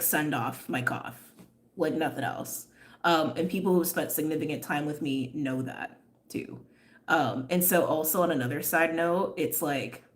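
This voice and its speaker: A young woman with a slightly wheezy voice from asthma, chatting candidly on a livestream and reading out viewer comments, full of fillers and quick laughs. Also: sits low. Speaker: tarot reader and livestream host.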